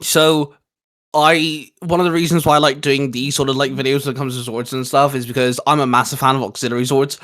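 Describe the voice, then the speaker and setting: A man's voice: medium pitch, flat delivery, fairly quick, a bit bright. A man co-hosting a casual fan chat, talking in an enthusiastic, rambling way. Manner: enthusiastic, rambling, occasionally swearing. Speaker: co-host.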